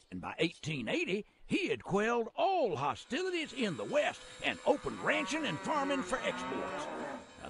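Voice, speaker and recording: An actor voicing a folksy, excitable old-timer railroad guide in a video game, with a rural American accent, a creaky voice and a colloquial, theatrical manner. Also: male voice, extremely high pitch, exaggerated voice.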